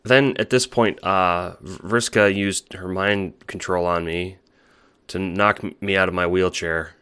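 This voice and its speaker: A timid young alien troll, played by a man, speaks in a bright, forward voice. He stammers and second-guesses himself as he goes, anxious to please, in the manner of an audio drama. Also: low-pitched, steady tone, clear, resonant tone. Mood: meek. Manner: halting, stammering, self-doubting. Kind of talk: audio drama monologue and dialogue.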